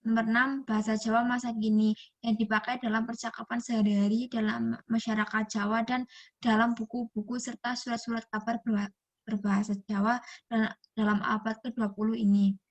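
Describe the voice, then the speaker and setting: A woman's voice: on the high side, flat delivery, brisk. A young woman from Indonesia, a university student reading a class presentation aloud from a text and often restarting words. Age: young adult.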